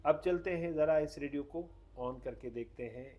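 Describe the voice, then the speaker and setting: A man's voice: a little high, normal speed, warm tone. Man giving a casual gadget demonstration. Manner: explains step by step. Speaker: host demonstrating a radio product. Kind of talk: casual hands-on product demonstration.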